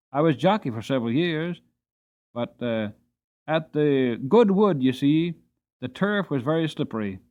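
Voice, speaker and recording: A man's voice: medium pitch, darkish tone, dull audio, lightly acted. A man voicing a small, cheerful old hostler and former jockey, proud and talkative, with a folksy, chatty manner in an audiobook. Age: older. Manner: chatty, folksy, interjects "bless you" and "you see". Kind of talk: dramatic audiobook character voice.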